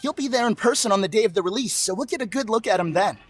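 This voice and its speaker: A man voicing an excited, gossipy young collector fan in a video game. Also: high-pitched, rapid-fire. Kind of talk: video game character acting.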